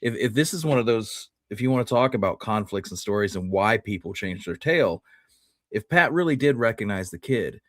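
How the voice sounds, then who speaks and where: Low-voiced man discussing history, close dry sound. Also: animated, fairly quick, a bit bright, no room sound. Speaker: history show host.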